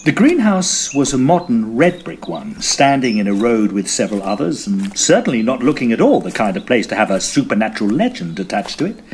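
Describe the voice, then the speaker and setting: Actor as an eager Edwardian gentleman ghost hunter, very animated. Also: mid-range voice, fairly quick, few pauses, a little hiss or hum. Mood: storytelling. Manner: theatrical, formal period diction. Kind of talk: audio drama narration and dialogue.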